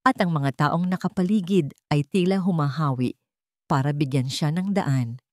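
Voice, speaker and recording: Woman, Filipino accent, lowish pitch, dramatic story narrator, close dry recording. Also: moderate pace, a little mellow, no echo.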